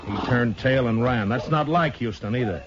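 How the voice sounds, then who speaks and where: An actor playing a terse, stern middle-aged American frontier marshal in a 1950s radio western. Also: male voice, mid-range voice, normal speed, lightly acted. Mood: thoughtful. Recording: muffled, noisy 1950s radio recording.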